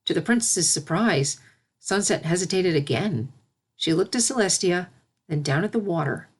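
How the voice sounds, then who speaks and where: A woman's voice: on the low side, animated, moderate pace, husky. A woman narrating a scripted story as its reader.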